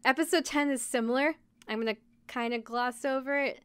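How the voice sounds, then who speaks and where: A woman's voice: high-pitched, steady tone, brisk, fairly bright tone, clean tone, dry recording. A woman podcast host giving a casual, chatty recap, informally acting out bits of dialogue with wry commentary. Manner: informal, acts out characters' lines in passing.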